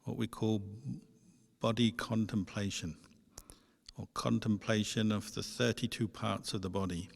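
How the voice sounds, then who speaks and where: Slow, even-toned man in an echoey hall. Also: fairly low pitch, vocal fry. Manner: measured, gentle instructing with pauses. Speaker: Buddhist monk leading guided meditation. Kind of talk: guided meditation instruction. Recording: echoey hall with long reverb.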